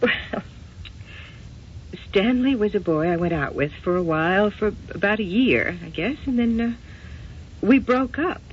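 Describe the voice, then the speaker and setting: A wounded, evasive American wife in a vintage radio play, played by a woman. She speaks with halting emotion and genteel old-fashioned manners. The sound is dull, with faint noise behind it. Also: medium pitch, lively intonation, natural voice. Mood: evasive, flustered. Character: wounded, evasive wife haunted by lost love. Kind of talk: old-time radio drama acting.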